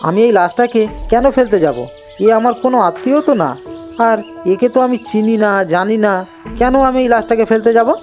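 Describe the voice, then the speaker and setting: A simple young village man who stubbornly keeps things to himself, played by a woman. The cartoon voice is fairly high, with quiet music behind it. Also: normal speed, lightly acted. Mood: indignant refusal. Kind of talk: cartoon voice acting.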